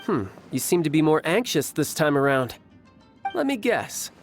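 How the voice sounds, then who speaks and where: A man's voice: fairly high pitch, sing-song, normal speed. A man playing a cocky, playful young warrior in a video game, talking in a breezy, self-assured, articulate way. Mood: shrewd, probing. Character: cocky young male warrior, playful, battle-hungry, shrewd. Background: music.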